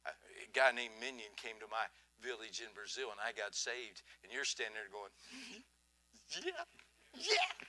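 Older male preacher, folksy storyteller, tense bright tone, lapel mic in a church hall. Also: on the low side, sing-song, measured pace. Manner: folksy, swings from soft to loud, asks "huh?". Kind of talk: sermon. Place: church auditorium stage.

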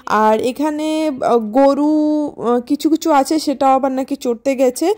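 High-voiced chatty vlogger woman. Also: takes it slowly, warm tone. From India. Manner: chatty, rambling, with hesitant fillers. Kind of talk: casual vlog narration.

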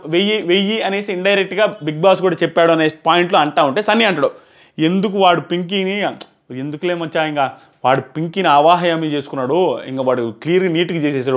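A man, giving an informal, animated review as he explains and repeats his points into a lapel mic. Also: high-pitched. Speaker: reality show reviewer. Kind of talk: informal episode review commentary. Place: small plain indoor room. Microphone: clip-on lapel mic.

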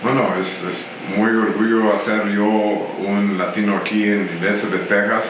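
Distant low-voiced male interviewer. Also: flat delivery, a little slow. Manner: hesitant, many fillers. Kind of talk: interview questions. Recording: distant roomy off-camera voice with faint noise.

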